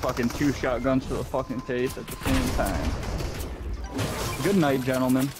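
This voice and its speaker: A man's voice: medium pitch, fairly even intonation, a little slow, over loud music. A man talking casually and in slang with friends while gaming. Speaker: gamer in squad voice chat.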